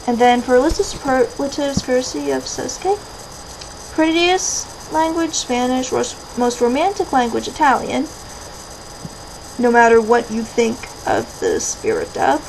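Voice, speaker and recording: A young American woman talks casually to camera, answering viewers' questions. Her voice sits fairly high and crackles with vocal fry. She interrupts herself with rambling asides, and there is clear background noise in the recording. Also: sing-song, measured pace, darkish tone. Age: 20s. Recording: clearly noisy background hiss and ambience.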